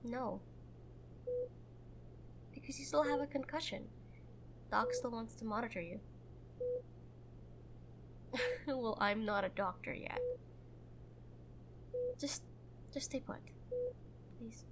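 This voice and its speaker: A woman playing a protective, prickly young girlfriend in a roleplay audio drama, tender but bossy and halting when vulnerable. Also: fairly high pitch, slight background noise. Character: protective, prickly young woman, frightened for her injured lover.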